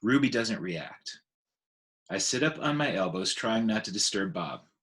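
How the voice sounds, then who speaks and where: A man reading a children's book aloud in an expressive narrating style. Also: lowish voice, a little slow.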